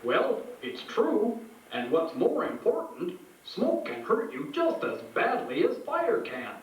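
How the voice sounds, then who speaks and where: A man voicing a friendly, expressive puppet teacher who instructs children in a scripted show. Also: sits high, slow, heavily acted. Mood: cheerful, instructive. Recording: echoey room, distant, faint noise.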